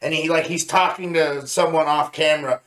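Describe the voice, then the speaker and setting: A man's voice: a little high, fairly even intonation, moderate pace, fairly bright tone. A man chatting casually as co-host of a review show, recounting scenes in an enthusiastic, rambling way. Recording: echoey, roomy sound.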